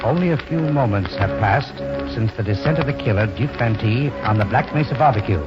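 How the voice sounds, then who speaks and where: Mid-pitched male radio narrator over music. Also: brisk. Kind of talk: formal scripted 1940s radio narration. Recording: muffled 1940s radio broadcast.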